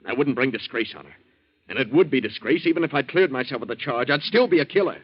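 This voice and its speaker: A man's voice: mid-range voice, lively intonation, fast, slightly rough. An actor playing a blunt, slangy, hot-headed young waterfront man with a decent heart in a 1940s radio drama. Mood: agitated, bitter. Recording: dull 1940s radio recording.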